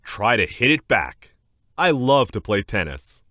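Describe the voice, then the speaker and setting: A man narrating a children's educational book aloud in a scripted, clear and deliberate instructional manner. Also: fairly low pitch, sing-song, pushed and bright, dry recording, clean studio sound.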